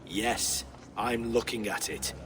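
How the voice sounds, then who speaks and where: An actor playing a curt, weary and commanding Viking town lord in a video game. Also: male voice, medium pitch, fairly even intonation, slow, fairly bright tone, slight background noise. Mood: dry, impatient.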